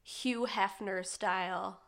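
A woman's voice: medium pitch, steady tone, fairly bright tone. A woman in her thirties co-hosting a casual podcast, playful and teasing, getting over a cold. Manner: playful, teasing, quick to laugh. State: has a cold. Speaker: co-host. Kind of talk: casual chat.

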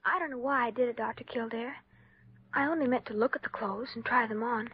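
An actress playing a miserable, ashamed teenage girl in a 1950s radio drama, speaking in a hesitant, plaintive, breathy way. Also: on the high side, brisk. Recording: dull lo-fi 1950s radio recording.

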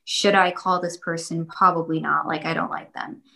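A woman's voice: low-pitched, steady tone, normal speed, vocal fry, sharp tone. A young woman answering interview questions casually, rambling and repeating herself with many fillers.

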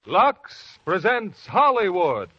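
A man's voice: sits high, sing-song, takes it slowly, husky, no echo, faint music behind. A man announcing a 1940s radio show in a grand, formal style, on a dull old radio recording.

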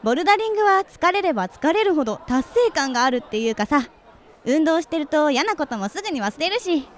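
Woman acting a high, lilting, friendly young woman. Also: sing-song, a little fast, a faint crowd. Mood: chatty, candid. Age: 20s. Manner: chatty, casual, direct. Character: friendly, forward, sporty young woman, old classmate. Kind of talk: radio drama dialogue.

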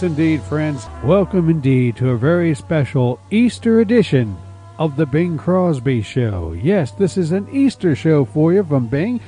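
A male radio host with an unhurried delivery and a mellow, relaxed voice. He introduces the show in a jovial, folksy, mock-formal manner, addressing listeners like friends. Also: a little high. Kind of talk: folksy scripted show introduction over music.

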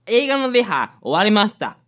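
A giddy, boastful young sidekick played by a woman with a very animated, medium-pitched voice. The manner is childish and showy, in radio-comedy style. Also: normal speed. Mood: smug, cheerful. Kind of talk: radio drama acting.

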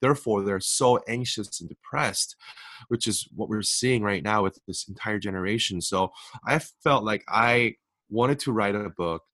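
A man's voice: fairly low pitch, moderate pace, a bit bright, dry recording. A young man whose first language is Korean, an author guest speaking earnestly on a faith podcast and restarting his words as he goes. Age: young adult. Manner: earnest, repeats words and restarts when emphatic. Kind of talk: faith-based podcast interview.

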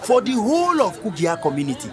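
A man playing a stubborn, excitable village rumour-monger in a Pidgin radio drama, with a Nigerian accent and an argumentative, insistent manner. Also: high voice, fast. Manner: argumentative, insistent, repeating himself. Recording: busy crowd and music behind.

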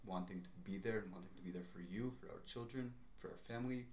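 A young man talks in a measured, reflective way, pausing to weigh his words. His voice sits fairly low, and the recording carries a noticeable room echo. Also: darkish tone, a little hiss or hum. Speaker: podcast co-host. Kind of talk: casual chat. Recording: roomy echo.

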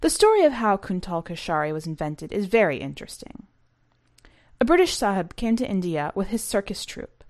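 A woman narrating a short story for a podcast in a measured, precise, scripted audiobook style. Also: medium pitch, sing-song, a little mellow.

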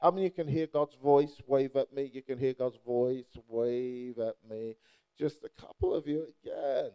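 A man's voice: medium pitch, measured pace, clear, resonant tone, dull audio. A young adult man from Nigeria preaching a playful, charismatic sermon and rousing the crowd with call-and-response.

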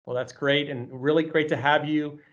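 A man's voice: on the high side, steady tone, moderate pace. Friendly male host, slightly dull recording. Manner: friendly, with many fillers and repeated words. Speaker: host, college campus president. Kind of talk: hosted interview.

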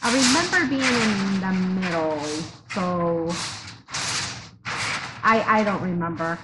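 A woman in her forties from Mexico, a teacher, talking through her background in a measured way with many fillers. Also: mid-range voice. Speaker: teacher, interview guest. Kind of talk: casual interview. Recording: noisy background hiss.

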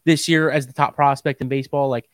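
A man's voice: mid-range voice, steady tone, quick pace, no room sound, clean studio sound. A young American man co-hosting a sports podcast in casual chat, often repeating himself for emphasis.

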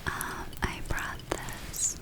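A woman whispers in a breathy, fully unvoiced hush. She speaks extremely slowly, leaving long pauses between short phrases. Her delivery is gentle and soothing, in the style of an ASMR personal-attention roleplay. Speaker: ASMR performer playing a witch spa therapist.

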